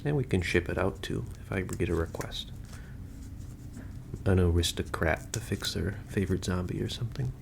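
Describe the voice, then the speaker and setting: A man playing an easygoing, goofy corpse stitcher in a halting, rambling audio roleplay monologue, talking matter-of-factly to a listener. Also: low-pitched, gravelly. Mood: matter-of-fact, casual. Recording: noisy home recording with ambience.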